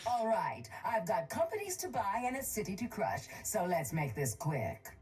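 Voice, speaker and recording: A woman voicing a ruthless, self-made businesswoman villain in a video game, haughty, measured and condescending. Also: medium pitch, very animated, darkish tone, lightly acted. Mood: cool, bitter. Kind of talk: video game character voice lines. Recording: music behind the voice.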